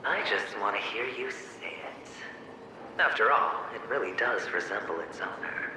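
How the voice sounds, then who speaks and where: A man's voice: on the low side, animated, unhurried. An actor playing the mocking inner double of a young man, taunting and slipping into hissed whispers, his voice echoing and distant. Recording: heavy echo, distant, game ambience noise.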